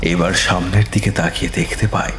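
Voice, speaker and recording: A man narrating a scripted ghost story in a slow, suspenseful manner. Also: low voice, fairly even intonation, echoey room, background noise.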